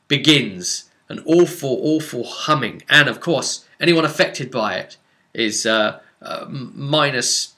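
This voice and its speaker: Guy recapping his tabletop rpg game, bright punchy voice, average pitch, really dramatic storytelling. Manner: theatrical, dramatic emphasis and drawn-out words. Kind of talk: animated storytelling vlog.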